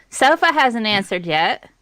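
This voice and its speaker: Slow, playful jokey woman. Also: a little high. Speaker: guest. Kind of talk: casual chat.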